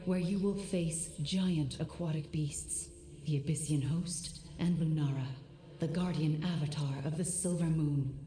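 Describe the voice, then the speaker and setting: An actress playing a solemn, mystical guide to a warrior in a video game, speaking with measured gravity. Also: female voice, low voice, warm tone, a little hiss or hum. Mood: solemn guidance. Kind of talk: dramatic video game acting. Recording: echoing voice under game music.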